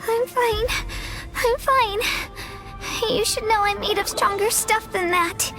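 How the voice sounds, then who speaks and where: A woman's voice: very high-pitched, slow, over music, heavily acted. A woman voicing a stubborn, earnest little girl warrior in a video game. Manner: earnest, determined.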